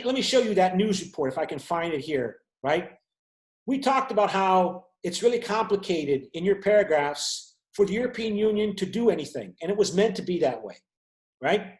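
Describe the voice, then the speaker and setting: A man's voice: high voice, echoey room. A man, a university lecturer, explaining at length in an online class and often checking in with his students. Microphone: video call.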